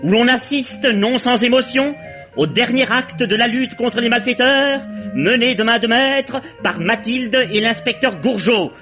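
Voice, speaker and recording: An actor narrating a 1950s French radio serial in a measured, mock-solemn way. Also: male voice, extremely high pitch, pushed and bright, heavily acted. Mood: solemn, storytelling. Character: storyteller announcing chapters, wry and solemn. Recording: muffled old radio recording, music behind.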